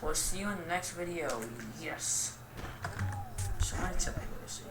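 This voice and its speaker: A young woman giving casual, rambling game commentary in a self-deprecating, goofy and halting way. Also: lowish voice. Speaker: gaming video host. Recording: roomy, distant mic with clear noise.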